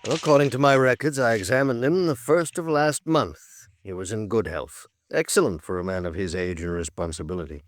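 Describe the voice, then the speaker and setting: Man playing thoughtful middle-aged doctor, lowish voice, educated and measured, old 50s radio drama, very dry sound. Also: no room sound, natural voice. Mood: professional. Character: big graying doctor, measured and reflective. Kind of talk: 1950s American radio drama acting.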